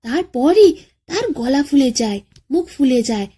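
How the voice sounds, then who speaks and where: A woman tells a scripted children's folk tale in a high voice. Her pitch swings widely as she narrates, with an expressive, dramatic bedtime-story delivery. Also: measured pace.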